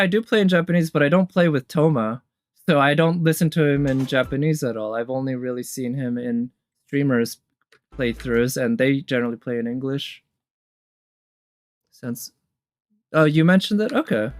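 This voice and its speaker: A man's voice: fairly high pitch, no echo. A man giving casual live commentary as a streamer, enthusiastic and thinking aloud in fragments. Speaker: streamer reviewing game trailers. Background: game trailer music.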